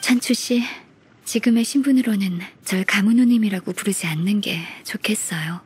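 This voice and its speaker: A woman voicing a gentle, modest, dutiful secretary in a video game, speaking softly and formally. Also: medium pitch, moderate pace, husky. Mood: polite, earnest. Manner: polite, soft-spoken, formal. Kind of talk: Korean video game voice acting.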